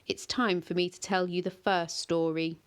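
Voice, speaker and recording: An ancient tree monster as tall as a house, speaking slowly and gravely with old, quiet authority, played by a woman reading the story. Its words come weighty and unhurried, more stern than frightening. It is a storybook voice, not a shout. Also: on the low side, level tone, lightly acted. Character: ancient giant yew-tree monster, male, imposing.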